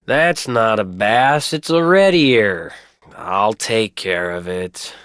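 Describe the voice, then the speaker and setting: An actor playing a folksy, easygoing small-town printer who loves fishing, chatty in a video game. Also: male voice, lowish voice, animated, slow pace, a bit bright, dry recording, clean studio sound. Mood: amused, correcting. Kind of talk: video game character acting.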